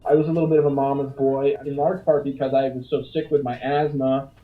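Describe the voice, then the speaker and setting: A man's voice: mid-range voice, little pitch movement, quick pace, distant mic. A man, the older brother, recalls his family reflectively in a documentary interview. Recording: tinny phone-like sound from afar.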